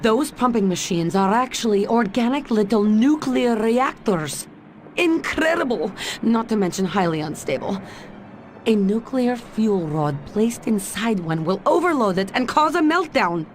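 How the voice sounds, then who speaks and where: A woman's voice: a little high, animated, unhurried, slight background noise. A woman voicing a capable Russian secret agent in a video game, with a Russian accent and a composed, teasing manner. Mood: briefing. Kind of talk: video game cutscene acting.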